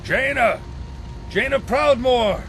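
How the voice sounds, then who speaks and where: A man's voice: very high voice, slow pace, creaky, heavily acted. An actor playing an older paladin lord in a fantasy game cutscene, stern and weighty yet fatherly. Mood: urgent, concerned. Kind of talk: dramatic video game cutscene acting. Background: loud music.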